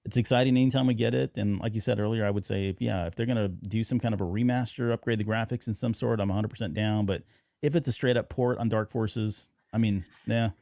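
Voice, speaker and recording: A man's voice: on the low side, steady tone, fast talker, gravelly, warm tone, dry recording, clean studio sound. A man hosting a podcast in a casual, conversational fan-chat style. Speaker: podcast host.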